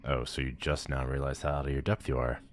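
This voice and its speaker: Man voicing a very deep, haughty demon lord. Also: level tone, quick pace. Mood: lofty, instructive. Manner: pompous, condescending, theatrical. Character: haughty, powerful male demon lord, bored and amused. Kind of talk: ASMR audio roleplay acting.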